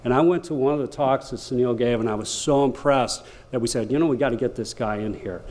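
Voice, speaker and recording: A man's voice: mid-range voice, fast, darkish tone. A man giving an informal public address as an introducer, folksy and conversational, often tossing out questions and tags to the listeners. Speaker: library foundation representative introducing a speaker.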